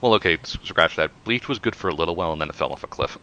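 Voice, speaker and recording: A man's voice: sits low, expressive, rapid-fire, pushed and bright, lo-fi sound. A man chatting casually as one of the co-hosts of a group commentary. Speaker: commentary podcast co-host.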